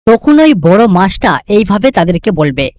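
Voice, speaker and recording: Casting: woman storyteller for cartoon folk tales, scripted narration with widely varied pitch. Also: very animated, moderate pace, darkish tone, no room sound, clean studio sound.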